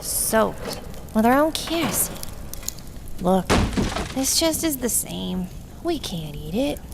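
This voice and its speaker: A woman's voice: fairly high pitch, sing-song, takes it slowly, gravelly, exaggerated voice. A woman playing a desperate, defiant female criminal in a melodramatic old-time radio play. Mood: dismayed. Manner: melodramatic, emphatic. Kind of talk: Golden Age radio drama acting. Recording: noisy radio drama recording.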